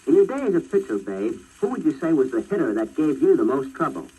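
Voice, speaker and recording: A man's voice: on the high side, sing-song, quick pace, dark tone, breathy edge. An American man interviewing a guest in 1940s radio style, heard on a crackly old disc recording.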